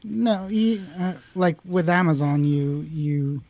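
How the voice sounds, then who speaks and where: A middle-aged man co-hosting a casual tech chat on a video call, halting and self-deprecating, often trailing off, from a home room. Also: on the high side, a little mellow, dry recording. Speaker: podcast co-host.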